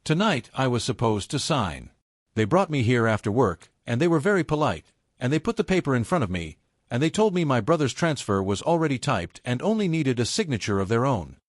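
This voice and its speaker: Man voicing a strained, steady female secretary. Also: fairly quick, dry recording, studio quality. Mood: strained confession. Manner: low, steady, restrained. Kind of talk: audiobook character dialogue.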